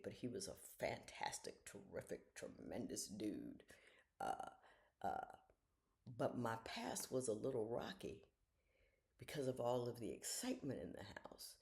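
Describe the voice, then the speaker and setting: A woman in her sixties talking casually to viewers from home in the early morning, giving frank, folksy advice and sometimes dropping to a soft half-whisper. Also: extremely low pitch, sing-song, moderate pace, vocal fry. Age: 60s. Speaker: retired judge giving life advice. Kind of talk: casual reflective talk to viewers. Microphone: camera she leans toward.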